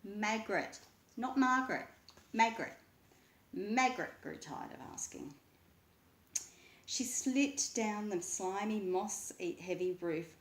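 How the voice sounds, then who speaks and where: A woman reading a children's book aloud with expressive, deliberate, dramatic narration. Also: mid-range voice. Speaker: story reader. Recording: roomy, echoing voice.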